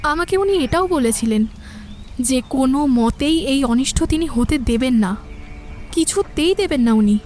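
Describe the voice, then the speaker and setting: An actress playing a gentle young village woman in an audio drama, speaking in an earnest, measured way. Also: high-pitched, expressive. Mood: grave, secretive. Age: young adult. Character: gentle, soft-natured young village woman, childhood friend.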